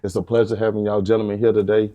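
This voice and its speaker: A man's voice: on the low side, level tone, racing, warm tone, muffled recording. A male host running a casual interview in a laid-back way, leaning on repeated catchphrases.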